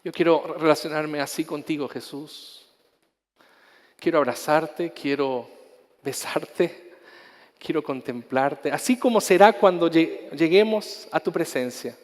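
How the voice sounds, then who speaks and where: A male pastor with a Chilean accent, his voice sitting rather high, preaching with fervor and restarting phrases. The sound rings with a long reverb, as in a large church hall. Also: measured pace. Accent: Chilean Spanish. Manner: impassioned preaching with repetitions and restarts; soft, intimate prayer. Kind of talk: sermon ending in prayer.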